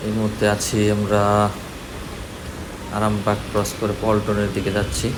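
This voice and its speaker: Flat-toned Bangladeshi man over road noise. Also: lowish voice, moderate pace. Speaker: vlogger. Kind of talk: casual commentary while riding a motorbike. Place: outdoors on a moving motorbike in city streets. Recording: clear traffic and wind-like road noise. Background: vehicle and road noise.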